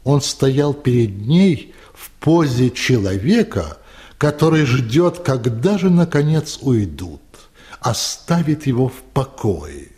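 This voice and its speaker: A man narrating a literary radio play in a measured, dramatic storytelling manner. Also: mid-range voice, sing-song, darkish tone, husky.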